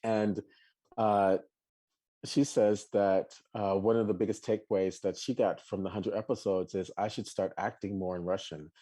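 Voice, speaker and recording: Male podcast co-host, dark and husky, lively stop-start chatter. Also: moderate pace. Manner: animated, many restarts, bursts of high laughing exclamations. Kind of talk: casual live chat.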